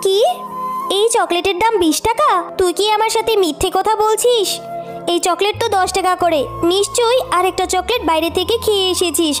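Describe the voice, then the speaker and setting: A woman's voice: very high-pitched, fairly quick, soft background music. A woman voicing a stern, short-tempered village mother who scolds and nags in a children's cartoon. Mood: angry. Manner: scolding, nagging. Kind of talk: children's cartoon story dialogue.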